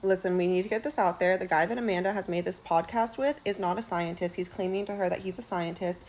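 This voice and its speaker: A woman's voice: fairly low pitch, fast talker, darkish tone, slight background noise. A woman in a social media clip telling an urgent, accusing story about a former roommate. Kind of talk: upset personal testimony.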